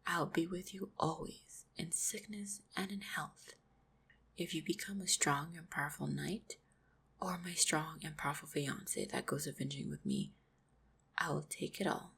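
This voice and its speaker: A woman voicing an affectionate, supportive orc fiancée in an intimate roleplay audio, speaking tenderly and reassuringly with gentle teasing, often close to a whisper. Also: low voice, unhurried, full character voice. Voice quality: breathy, husky, often near-whispered.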